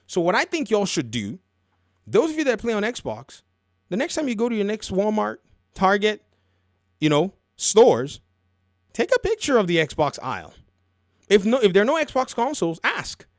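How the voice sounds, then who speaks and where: A man's voice: sits high, big pitch swings, moderate pace, long pauses, no room sound, studio quality. A man hosting casual, opinionated video game commentary, telling stories in a colloquial, emphatic way and repeating phrases for effect.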